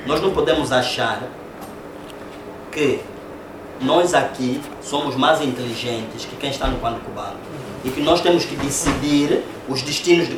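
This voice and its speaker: A man with an Angolan Portuguese accent giving a public lecture in a conversational way, often ending points with rhetorical tag questions. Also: mid-range voice. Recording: echoey room, distant mic, noisy.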